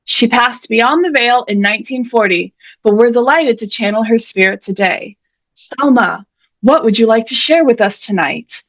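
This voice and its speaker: A woman's voice: a little high, normal speed, low-quality recording. A woman hosting an online reading event, chatty and playful, sometimes mock-dramatic. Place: indoors, on a video call.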